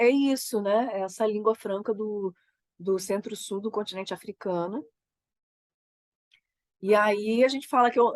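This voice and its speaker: A woman with a Brazilian Portuguese accent, a theater creator getting over a cold, talking about her work in a reflective, halting way and often tagging her sentences with "né?". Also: medium pitch, no room sound. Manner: thoughtful, halting, frequent "né?" tags. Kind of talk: reflective conversation.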